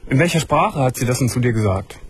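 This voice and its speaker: Calm male talk show host. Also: medium pitch, fast, husky. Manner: calm, probing questions, many short backchannels. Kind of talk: late-night call-in talk. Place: studio.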